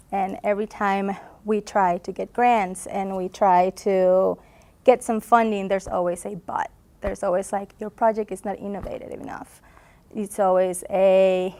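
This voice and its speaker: A woman's voice: mid-range voice, darkish tone. A woman in her twenties from Honduras, a graduate student answering interview questions in a conference booth, earnest with frequent laughs and fillers.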